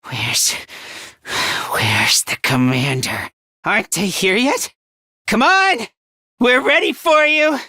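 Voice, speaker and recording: Casting: fragile, timid teen boy for a video game; high androgynous voice, slow and hesitant, swinging widely in pitch. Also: expressive, bright, forceful tone, dry recording, clean studio sound, heavily acted. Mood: unhinged, intense. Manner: timid, hesitant, stammering, emotionally volatile. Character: anxious, self-deprecating, frail teenage boy, unstable under strain. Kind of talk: video game voice acting, dramatic.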